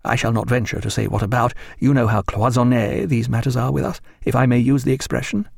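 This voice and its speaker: A man's voice: medium pitch, a little fast, vocal fry, a little mellow, no echo, studio quality. A man voicing a formal, courteous and anxious Admiralty official in an audiobook, precise and deferential. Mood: courteous, discreet.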